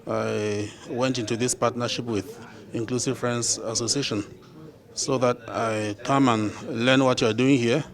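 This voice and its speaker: A man's voice: mid-range voice, steady tone, a little slow. A man, an election observer, answering an interview in a thoughtful, composed way. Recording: crowd chatter behind.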